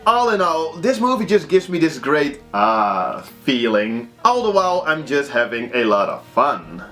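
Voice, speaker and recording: A young Belgian man whose first language is Dutch, casually recommending movies in a halting, animated way with frequent restarts. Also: on the high side, soft background music.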